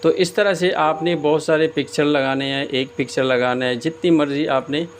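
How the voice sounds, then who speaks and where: A man giving a casual step-by-step screen tutorial as host, often pausing hesitantly mid-sentence. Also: a little high, fairly even intonation. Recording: clear background noise, room ambience.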